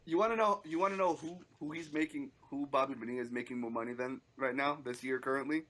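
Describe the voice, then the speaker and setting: A man's voice: mid-range voice, fast talker, fairly bright tone. A man around thirty chatting casually about sports on a podcast, informal and emphatic in his banter. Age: 30s.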